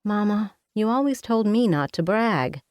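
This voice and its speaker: Woman doing young tired daughter, soft and gentle, dry close sound. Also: mid-range voice, animated, measured pace, no room sound, pitched above the performer's own voice, lightly acted. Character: weary young woman visiting her mother.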